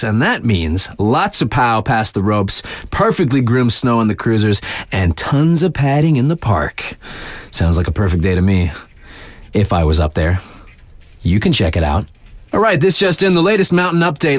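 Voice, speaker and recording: A man's voice: mid-range voice, no room sound, close to an everyday voice. An actor playing a laid-back, wry male radio DJ in a video game, talking in casual slang to hype up his listeners. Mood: stoked, laid-back, then upbeat, informative.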